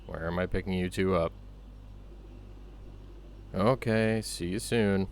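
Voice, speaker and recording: A man's voice: deep voice, takes it slowly, slight background noise. A man playing an easygoing pizzeria employee talking casually on a call, in a comedy audio drama. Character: easygoing male pizzeria employee taking a phone order.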